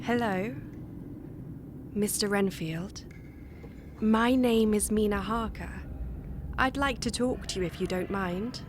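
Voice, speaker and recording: A woman's voice: mid-range voice, slow pace, pauses often, lightly acted. A woman playing a brave, composed young wife, polite and coaxing, in an audio drama. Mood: calm coaxing. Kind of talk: theatrical audio drama acting. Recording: clear background noise.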